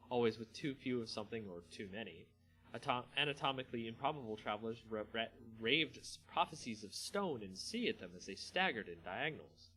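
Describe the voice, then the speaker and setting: A man hosting a dramatic story reading, expressive in delivery and now and then stumbling and correcting himself. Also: medium pitch, rough recording. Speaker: host reading a fantasy story aloud.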